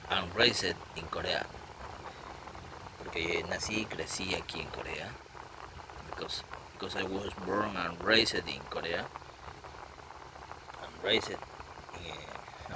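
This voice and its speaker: A man practicing English lines out loud while painting, repeating phrases and translating them to Spanish. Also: sits low. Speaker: language learner drawing while practicing. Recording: noisy home recording.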